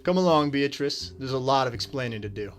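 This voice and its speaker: An actor playing a dogged, wry detective in a radio drama, deliberate and dryly authoritative. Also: male voice, medium pitch, lively intonation, lightly acted. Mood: firm, questioning. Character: dogged, wry male detective, firm but polite.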